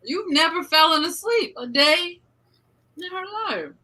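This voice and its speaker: Joking guest on a live stream; bright, cutting tone, teases the host. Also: extremely high pitch, expressive, measured pace. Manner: teasing, joking. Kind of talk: casual live-stream chat.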